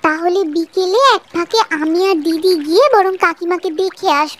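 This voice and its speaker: A woman's voice: very high voice, steady tone, moderate pace. A woman voicing a caring, dutiful young village girl in a cartoon, with a childlike, earnest manner. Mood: pensive, concerned. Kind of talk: Bengali cartoon voice acting. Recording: loud music behind the voice. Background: music.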